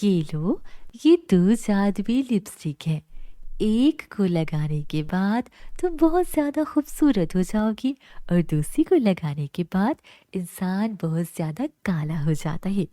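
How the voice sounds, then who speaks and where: A woman voicing a kind, calm magical fairy who explains her gifts in a children's cartoon story. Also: mid-range voice, slow pace, dry recording, clean studio sound. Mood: kindly, assuring.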